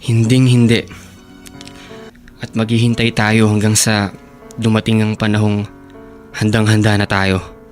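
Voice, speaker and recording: An actor playing an earnest, devoted young boyfriend in a radio drama, speaking sincerely and reassuringly. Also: male voice, on the low side, completely flat delivery, measured pace, pauses often, close to an everyday voice. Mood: hopeful. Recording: music bed behind voice.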